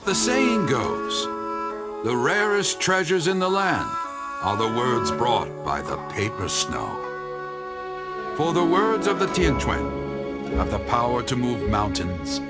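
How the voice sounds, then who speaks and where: An actor playing a theatrical teahouse storyteller in a game, dramatic and flowery, savoring each phrase. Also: male voice, medium pitch, sing-song, slow, over loud music. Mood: awed, measured. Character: theatrical male teahouse storyteller spinning grand tales. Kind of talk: storytelling in an English game dub.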